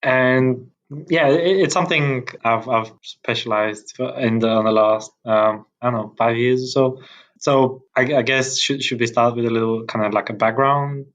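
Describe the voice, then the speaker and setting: A man's voice: medium pitch, steady tone, normal speed, fairly bright tone, dull audio. A man from Bulgaria in his thirties, a podcast guest chatting casually with many restarts and fillers and frequent laughs. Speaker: podcast guest, tech founder. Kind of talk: casual interview chat.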